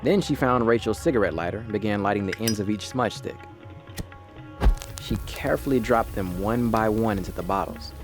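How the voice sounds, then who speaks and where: Man as a ghost cat narrator, low voice, wry, folksy, first-person audio drama storytelling, music underneath. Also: a little fast, close to an everyday voice.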